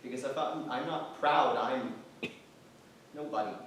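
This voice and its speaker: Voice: mid-range voice, a little slow, fairly bright tone, lightly acted. An actor playing a proud, weary young man, a jailed shoemaker's apprentice, earnest and stubborn in a staged play reading. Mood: bitter, wistful. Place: theatre stage, distant microphone. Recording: very echoey theatre, far-off mic, faint noise.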